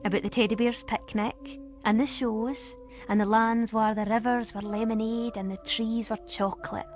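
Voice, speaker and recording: A woman with a Scottish accent narrating a first-person ghost story in a suspenseful, expressive way. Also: fairly high pitch, moderate pace, faint music behind.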